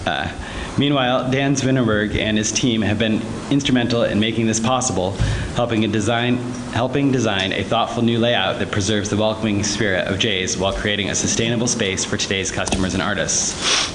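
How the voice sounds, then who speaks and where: A man from Vermont reads a prepared statement at a podium, his tone upbeat and promotional. His nose is stuffed up and sniffly, and his voice sits a little low. Clear background noise hangs under the meeting recording. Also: steady tone, fairly quick. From Vermont, USA. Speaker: public commenter. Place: town meeting room. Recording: noisy local-access meeting recording.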